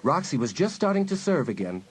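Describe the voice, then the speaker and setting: Expressive male story narrator, very animated pitch. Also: brisk, a little hiss or hum. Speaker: audiobook narrator.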